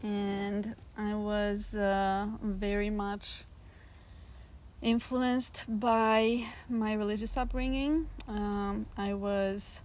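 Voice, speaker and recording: A woman's voice: medium pitch, level tone, very slow, a little mellow. Earnest woman in reflective conversation. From Romania. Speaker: guest, artist.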